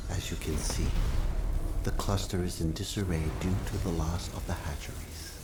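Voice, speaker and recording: An actor voicing a calm, authoritative AI guide, giving a measured briefing in a video game. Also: male voice, low-pitched, very noisy, lightly acted. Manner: measured, formal. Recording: music behind the voice.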